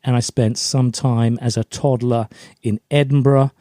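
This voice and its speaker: Middle aged guy chatting as a podcast guest, mellow voice, really dry sound, tells little stories. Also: mid-range voice, fairly even intonation, a little slow, no echo. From Germany, in a British forces family. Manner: chatty, anecdotal storytelling. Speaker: podcast guest, LinkedIn brand coach. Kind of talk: conversational interview answers.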